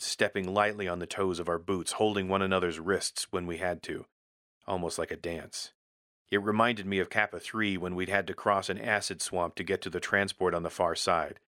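A man with a very deep, forceful voice narrates scripted first-person fiction. He tells it tersely, like a gritty soldier. The sound is close and dry. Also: steady tone, normal speed, dry recording. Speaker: story narrator.

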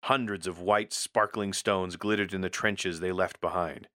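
A man's voice: deep voice, steady tone, moderate pace, pushed and bright, dry recording, studio quality. A man reading a first-person military science fiction story as a scripted narrator, in a gritty, terse storytelling manner.

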